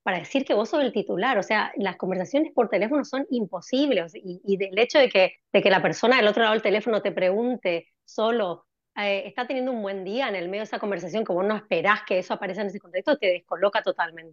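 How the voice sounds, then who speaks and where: A woman's voice: mid-range voice, no room sound. Argentine woman with dull call audio. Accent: Argentine Spanish. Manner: chatty, frequent fillers and restarts. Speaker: guest, cardiologist. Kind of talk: conversational interview answers.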